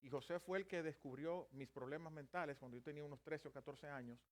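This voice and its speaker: A man's voice: a little high, fast talker. A man in his fifties who is a native Spanish speaker, preaching a sermon in a church, with self-deprecating humor and earnest Bible teaching. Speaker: pastor. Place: church sanctuary.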